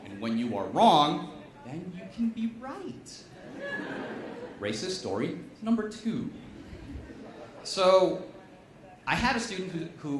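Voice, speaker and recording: Male professor, wry stand-up delivery, fairly high lively voice, heard distant in a reverberant venue. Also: slight background noise. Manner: wry, emphatic, punchy delivery. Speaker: chemistry professor giving a comedic science talk. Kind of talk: stand-up style science talk. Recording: echoey room, voice from a distance.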